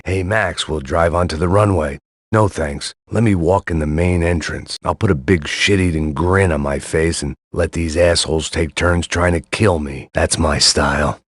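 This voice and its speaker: A burnt-out ex-cop in a game, very deep husky voice, sardonic noir narration, dead-dry studio sound. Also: male voice, dry recording. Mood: world-weary. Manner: dry, sardonic, world-weary. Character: weary cynical middle-aged male ex-cop.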